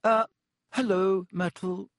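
A man voicing an earnest, anxious young schoolgirl in an audiobook, knowing and brightly polite when flustered. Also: sits high, steady tone, slow, dry recording, studio quality, voice pushed up. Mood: falsely bright.

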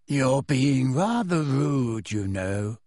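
A man voicing a dreamy, eccentric teenage girl in an audiobook, serene, vague and unhurried. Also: a little high, sing-song, breathy edge, no room sound, studio quality, pitched above the performer's own voice, full character voice. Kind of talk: audiobook character voice.

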